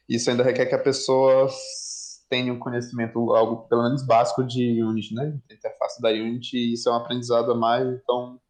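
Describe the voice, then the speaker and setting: Guy, average pitch, pretty flat delivery, explaining stuff tentatively in a casual online chat. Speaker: participant in an online discussion. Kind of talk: casual technical discussion.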